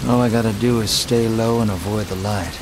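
A man acts a cool gunman in a Western game, speaking low with dry sarcasm and an unimpressed air. Stormy rain noise sits behind the voice. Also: fairly even intonation, brisk, lightly acted. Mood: dry, sardonic. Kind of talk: dramatic video game cutscene acting.

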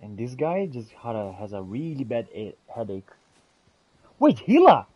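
Husky, animated man role-playing a game. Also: mid-range voice, unhurried, dry recording, low-quality recording. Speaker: gamer role-playing aloud. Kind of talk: in-character gameplay commentary.

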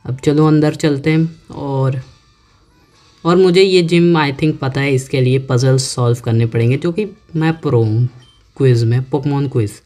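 A man giving casual let's-play commentary, chatting to himself and reading on-screen text aloud slowly. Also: mid-range voice, a little mellow. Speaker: gaming YouTuber. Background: faint game music.

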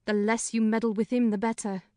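A man's voice: high voice, level tone, a little mellow, clean tone, no echo, clean studio sound, voice pushed up, natural voice. A man voicing a talkative middle-aged female housekeeper in a plain, frank way in a storybook reading. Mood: chatty. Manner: brisk, talkative, plain-spoken. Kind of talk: audiobook character voice.

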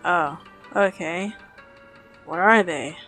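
A woman voicing an apathetic fast-food cashier in a cartoon comic dub, weary and unimpressed. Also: on the low side, faint music behind. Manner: flat, hesitant, unimpressed.

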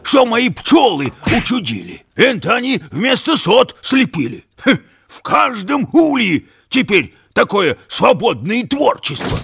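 An actor playing a fussy, excitable cartoon animal beekeeper with a raspy, creaky voice. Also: male voice, high-pitched, unhurried, dry recording, soft background music, exaggerated voice. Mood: indignant amazement.

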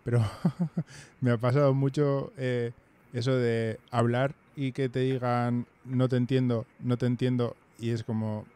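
Male host in his thirties, halting and hesitant, close mic, small home room. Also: medium pitch, level tone, warm tone, clean tone. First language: Basque. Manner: hesitant, many fillers and restarts. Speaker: podcast host. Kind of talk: casual video-call chat. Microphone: headphones and studio mic.

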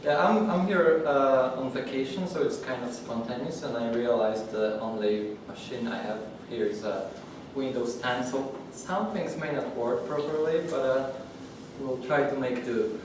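A young man from Poland gives a technical talk to a room of developers, stopping and restarting often and filling gaps with fillers. His voice sits at an ordinary pitch for a man. It was picked up from well away by a distant mic in a very echoey room with steady noise behind. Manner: halting, many fillers and restarts. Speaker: conference speaker. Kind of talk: technical talk at a user group. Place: meeting room with audience.

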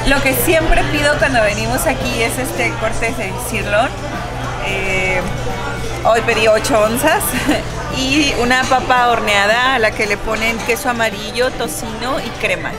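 A woman, casually explaining things to camera as a vlog co-host. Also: a little high. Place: busy restaurant booth. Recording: loud music and restaurant crowd noise.

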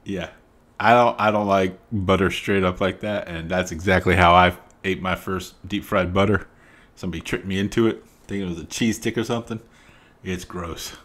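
A man's voice: low voice, fairly even intonation, normal speed, fairly bright tone. A middle-aged man with a Southern American accent, hosting a reaction video in casual commentary from a small home room on a studio mic, chatty and emphatic, laughing often. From Southern United States. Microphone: studio mic on arm, wearing headphones.

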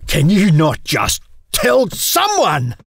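A man's voice: on the high side, wildly swooping pitch, drawn-out words, gravelly, a bit bright, breathy edge, no echo, studio quality, full character voice. A man playing a desperate, angry trapped explorer in an audio drama, agitated and bitterly pleading. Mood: exasperated pleading.

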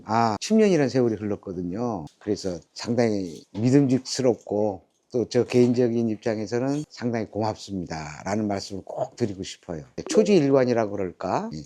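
A man, a long-time dental patient, giving a polite, measured interview testimonial with formal endings. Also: lowish voice, lively intonation, dark tone.

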